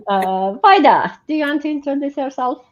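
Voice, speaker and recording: A woman's voice: high-pitched, lively intonation, moderate pace. A woman from Romania with a Romanian accent hosting an informal livestream in an encouraging way. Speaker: host. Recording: muffled, band-limited online stream audio.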